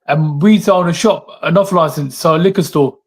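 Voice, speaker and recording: A man from the UK hosts an interview over a video call, with a voice a little higher than usual for a man. He asks curious questions and keeps the talk going with quick affirmations. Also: husky. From United Kingdom. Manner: inquisitive, short affirmations. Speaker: host. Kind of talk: casual interview chat. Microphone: remote video call.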